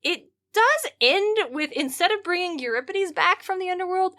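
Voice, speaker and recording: A woman hosting a podcast in casual, comedic chat, animated and rambling with slangy asides. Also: extremely high pitch, moderate pace. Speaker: podcast host.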